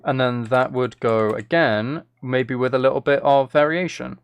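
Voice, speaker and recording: A man's voice: medium pitch, fairly even intonation, normal speed, gravelly, clean tone. Casual male live streamer thinking aloud over music. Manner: playful, self-deprecating, thinks aloud while working.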